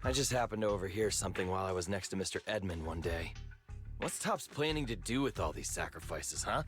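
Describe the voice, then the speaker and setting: A man's voice: low-pitched, lively intonation, fairly quick, over music. An actor voicing a talkative, weary male gang member in a video game, speaking casually.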